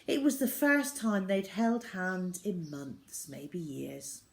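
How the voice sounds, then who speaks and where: A woman reading a children's novel aloud as an expressive storyteller. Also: lowish voice, takes it slowly.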